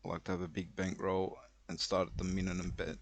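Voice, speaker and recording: A man with a low voice talks through his play in a casual, unhurried way. He thinks aloud as he explains, leaving pauses between short phrases. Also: completely flat delivery, noisy background. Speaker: online roulette player explaining a betting strategy. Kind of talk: casual screen-recorded commentary.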